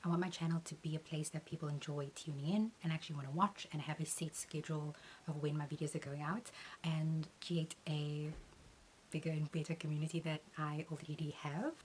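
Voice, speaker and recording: A young woman with a low, steady voice speaks as a beauty creator answering viewer questions. She talks in an earnest, measured way, pausing with an occasional "um". A faint hiss sits under the recording. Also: rough recording. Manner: earnest, measured, uses "um" between points.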